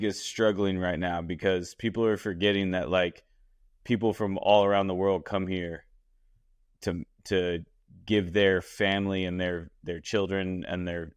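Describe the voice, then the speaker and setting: Blunt, low-voiced man chatting. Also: smooth. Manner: blunt, swears freely, repeats words. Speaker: podcast host and chef. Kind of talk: casual chat. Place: Los Angeles.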